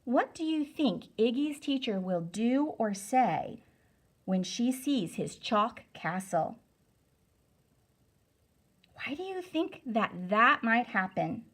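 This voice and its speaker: Slow, very expressive woman reading aloud. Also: high-pitched. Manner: deliberate, emphatic, instructive. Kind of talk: expressive storybook read-aloud for children.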